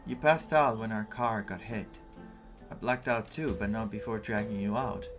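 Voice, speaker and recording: A man playing a serious, reserved and loyal young traveling companion in a video game, speaking in a measured, earnest, restrained way. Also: on the low side, close to an everyday voice. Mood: somber, confessional. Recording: game music under the voice.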